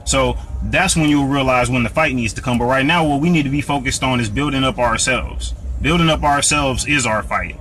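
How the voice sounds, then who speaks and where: A man's voice: mid-range voice, fairly quick, few pauses, fairly bright tone, a little hiss or hum, poor audio. An American man hosting a live Q&A, answering viewer comments in an earnest, preachy way, often restarting and repeating words. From United States. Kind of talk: live Q&A talk.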